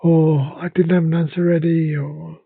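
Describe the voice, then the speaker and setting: A bilingual man who speaks English and Welsh talks haltingly, often restarting his sentences in a relaxed conversation. His voice is slightly high and husky. The recording sounds dry and narrow, like a phone line. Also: no echo. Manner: hesitant, halting, many restarts. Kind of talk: casual podcast conversation.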